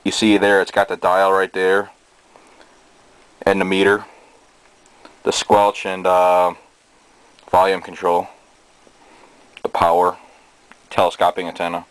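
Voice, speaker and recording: A man giving a casual show-and-tell in a halting, hesitant way, recorded indoors on a handheld camera's microphone. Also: deep voice, smooth, no echo, poor audio. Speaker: hobbyist presenter. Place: small cluttered bedroom indoors. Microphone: handheld camera's built-in microphone. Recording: steady noise, amateur camera sound.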